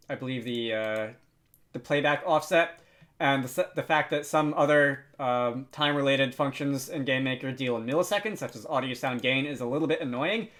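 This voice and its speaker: A man narrating an unscripted software tutorial, thinking aloud with frequent hesitant pauses. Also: a little high. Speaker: tutorial host.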